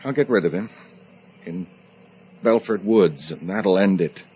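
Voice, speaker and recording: A man's voice: low voice, expressive, unhurried, darkish tone, husky, exaggerated voice. An actor in a radio drama playing a guilty, domineering man with an intense, stammering manner. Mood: remorseful, resolved. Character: guilty, agitated man hiding a killing, domineering yet pleading. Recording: radio drama recording with noisy ambience.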